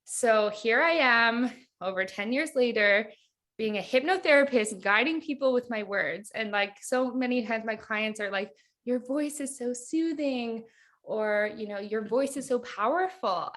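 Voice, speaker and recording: A woman's voice: on the high side, animated, a little slow, fairly bright tone. Thirties female guest, casual and reflective, leans on "like" a lot. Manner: chatty, reflective, heavy use of "like". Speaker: hypnotherapist guest. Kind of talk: casual podcast interview.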